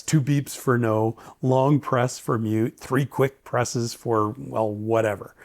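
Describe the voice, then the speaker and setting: A man's voice: medium pitch, a little mellow. A man giving a casual, opinionated, lightly joking product review. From Canada. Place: indoors, home study.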